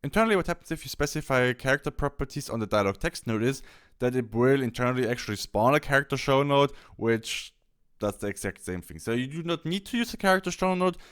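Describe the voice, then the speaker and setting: Clear-voiced man with swooping, varied intonation. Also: medium pitch, expressive, quick pace, a bit bright. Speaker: software tutorial presenter. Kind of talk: instructional screen-capture walkthrough.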